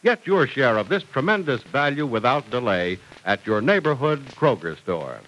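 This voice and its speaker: Animated American male radio announcer. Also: mid-range voice, normal speed, low-quality recording. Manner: emphatic, salesmanlike. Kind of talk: 1940s radio announcing and ad read.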